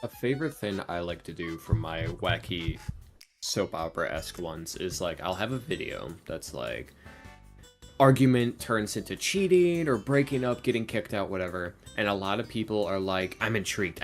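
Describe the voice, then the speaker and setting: A man's voice: sits low, expressive, moderate pace, faint music behind. A man chatting casually on a podcast as a creator of audio roleplay videos, talking in a self-deprecating way about his own work.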